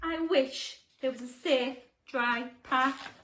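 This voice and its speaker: A woman's voice: sits high, takes it slowly, fairly bright tone, roomy sound. A woman voicing a distressed dog from a children's storybook, plaintive and wailing. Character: distressed howling dog stuck beside a bog.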